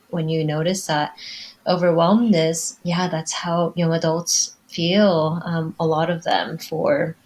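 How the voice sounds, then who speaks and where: A woman with a slightly low voice speaks as a podcast panelist. She is thoughtful and reflective, pausing mid-sentence as she finds her words. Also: darkish tone. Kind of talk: casual reflective discussion.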